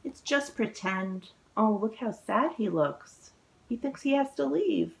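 A woman in her forties reading a children's picture book aloud, expressive and exclaiming, drawing out words for young listeners. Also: on the high side, unhurried, creaky, poor audio. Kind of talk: animated children's read-aloud storytelling. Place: living room at home, indoors.

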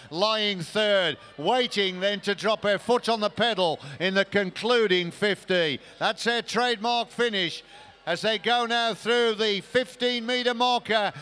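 A man's voice: sits high, sharp tone, clear, resonant tone. A man giving live swimming race commentary as the commentator.

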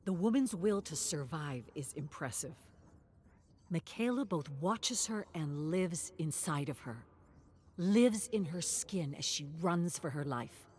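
A woman narrating a scripted dramatic story with theatrical, suspenseful and deliberate phrasing. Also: fairly low pitch, a little hiss or hum.

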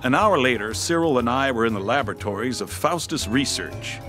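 A man acts as a hardboiled but slow-witted private eye in a comic noir radio play. His deadpan wisecracks swing up and down in pitch. Music plays clearly behind him. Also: lightly acted. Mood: wry, brooding. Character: maladjusted hardboiled male private detective, wry and slow on uptake. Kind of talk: comic noir audio drama, dialogue and narration.

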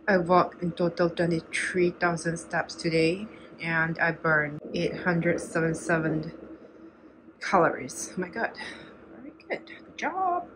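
A woman's voice: fairly low pitch, lively intonation, unhurried, background noise. A woman filming a casual vlog on her phone as she walks around a city, talking in a chatty way and exclaiming often. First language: not Korean. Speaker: vlogger. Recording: loud music behind.

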